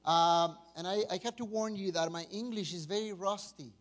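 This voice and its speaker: A man's voice: high-pitched, moderate pace. A man from Mexico with a Mexican accent and Spanish as his first language, preaching an informal, chatty sermon with jokes. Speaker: pastor.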